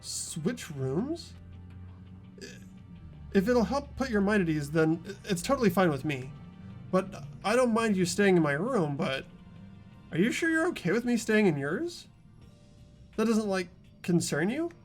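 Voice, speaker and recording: An earnest teenage schoolboy from a visual novel game, voiced by a man, gentle and anxious. His voice sits high and moves around freely, with hesitant stammers, over background music. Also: sing-song, measured pace, pauses often. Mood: reassuring, hesitant.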